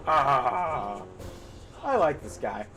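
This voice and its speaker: A man's voice: mid-range voice, big pitch swings, extremely slow. A man streaming a game, giving casual live commentary. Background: game music.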